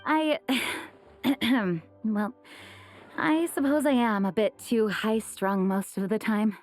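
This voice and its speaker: A woman voicing an earnest, dutiful female leader in a video game, formal and slightly high-strung. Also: takes it slowly, faint music behind. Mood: bashful. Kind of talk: animated game dialogue.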